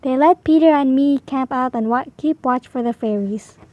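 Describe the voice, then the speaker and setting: A woman reading a bedtime story aloud to children in an expressive, animated way. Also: high-pitched, normal speed, no echo, dull audio. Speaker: storybook reader.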